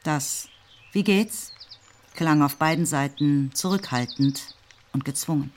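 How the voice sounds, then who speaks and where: A woman's voice: sits low, takes it slowly, a little hiss or hum. A woman narrating a literary radio drama in scripted prose, with birdsong behind her.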